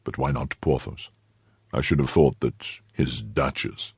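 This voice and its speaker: A male reader plays a grave gentleman in a storybook, dropping to a very deep, husky register. He is sardonic and laconic, muttering his dry remarks with understatement. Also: a little slow, no room sound, full character voice.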